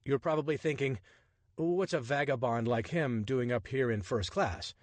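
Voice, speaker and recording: A man's voice: mid-range voice, normal speed, no room sound, pitched above the performer's own voice, lightly acted. A man voicing a bold, chatty young adventurer with a breezy, persuasive manner. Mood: charming.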